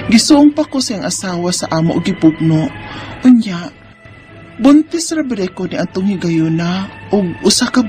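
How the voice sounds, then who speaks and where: A woman playing an aggrieved pregnant mother in a radio drama, earnestly and plaintively narrating her letter. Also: fairly low pitch. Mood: distressed recounting.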